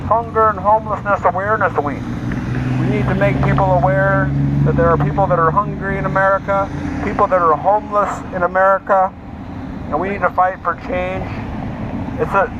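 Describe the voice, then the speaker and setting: A man giving an impassioned, emphatic advocacy speech with religious appeals and repeated slogans through a megaphone. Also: sits high. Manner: exhorting, emphatic, repetitive slogans. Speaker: homeless advocate, street speaker. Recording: noisy, dull megaphone sound outdoors with traffic.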